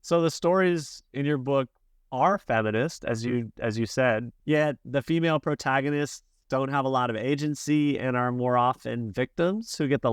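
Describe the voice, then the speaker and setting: A man co-hosting a podcast, putting a prepared question to the guest in a measured way. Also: mid-range voice, smooth, no echo, studio quality.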